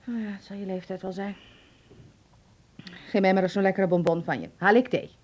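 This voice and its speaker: An actress playing a doting but sharp-tongued middle-aged mother who fusses, in a Dutch radio drama. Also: mid-range voice, fairly even intonation, normal speed, muffled recording, close to an everyday voice. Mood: tender, fussing.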